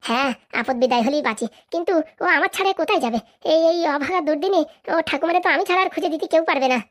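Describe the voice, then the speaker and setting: A woman acts out a scheming village madam from a comic cartoon. Her voice is high and she rattles along extremely fast. She sounds like a conspirator, ambitious and ready for revenge. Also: natural voice. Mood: dismissive. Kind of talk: comic cartoon drama acting.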